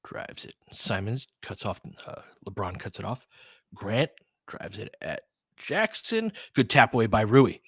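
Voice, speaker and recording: A man's voice: lowish voice, very animated, unhurried, husky, dry recording, studio quality. A man giving live basketball play-by-play and casual analysis as a candid, colloquial fan commentator who reacts openly and swears.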